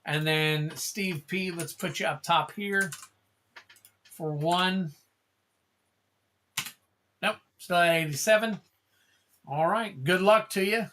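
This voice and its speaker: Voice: mid-range voice, takes it slowly, pauses often, bright, forceful tone, a little hiss or hum. A livestream host opening card packs, chatting casually, calling out card names and thanking viewers in a rambling way.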